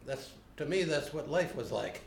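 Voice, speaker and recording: An older man being interviewed, recalling his past in a plainspoken, unhurried way with frequent pauses. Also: on the high side, expressive, vocal fry, slight background noise. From United States. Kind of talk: unscripted recollection. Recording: roomy home video recording.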